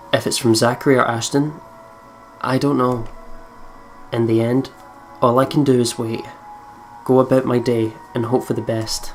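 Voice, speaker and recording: A let's play host reading a visual novel's narration steadily and laughing often between lines. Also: mid-range voice.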